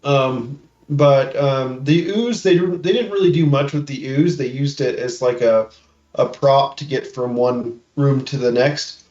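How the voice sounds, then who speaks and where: A man with a mid-pitched voice chats casually as a podcast co-host. He talks in halting bursts and keeps seeking agreement. The audio is a little dull and lo-fi. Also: a little mellow. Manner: halting, seeks agreement with tags. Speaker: podcast co-host giving reactions. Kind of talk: casual chat.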